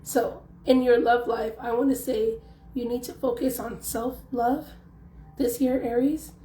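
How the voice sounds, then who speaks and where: A young woman with a hoarse, worn-out voice, chatting casually through a tarot reading and leaning on lots of fillers. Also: on the high side, steady tone, a little slow, warm tone. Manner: chatty, loose, filler-heavy. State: hoarse, losing her voice. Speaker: tarot reader. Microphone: phone. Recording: echoey room, faint background noise.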